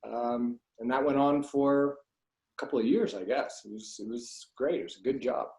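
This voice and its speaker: A man's voice: mid-range voice, moderate pace, darkish tone. Middle-aged man in an echoey room. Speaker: guest, voice actor. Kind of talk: casual interview reminiscence.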